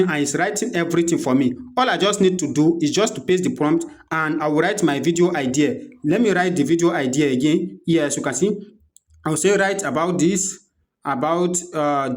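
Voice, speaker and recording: A man with a Nigerian accent presenting an unscripted software walkthrough, explaining step by step and repeating his instructions as he goes. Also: fairly high pitch, normal speed. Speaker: tutorial presenter.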